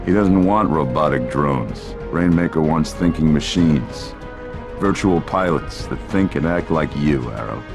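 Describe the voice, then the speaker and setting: Very deep, stern veteran commander. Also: male voice, animated, measured pace, heavily acted. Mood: grave. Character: commanding older military father, veteran pilot, stern. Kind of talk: video game cutscene dialogue. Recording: music clearly behind the voice.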